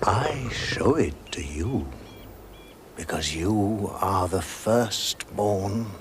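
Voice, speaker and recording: A man playing a great, wise, kingly lion in a radio drama, speaking in a solemn, stately way with weighty pauses. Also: low voice, noisy background. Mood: solemn, regal. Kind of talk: British radio drama acting.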